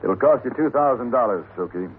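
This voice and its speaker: An actor playing a cool, calculating hired gunfighter in a measured, dry, urbane way, in 1950s western radio style. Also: male voice, mid-range voice, expressive, close to an everyday voice. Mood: dry, assured. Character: cool, calculating male hired gunfighter, mature and self-assured. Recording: tinny, noisy old radio recording.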